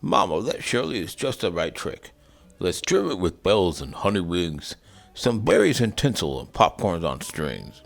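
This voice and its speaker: A drowsy, kindly father bear from a children's storybook, voiced by a man. He sounds hearty and gravelly, with lively rises and falls. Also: sits low, normal speed, faint music behind, full character voice.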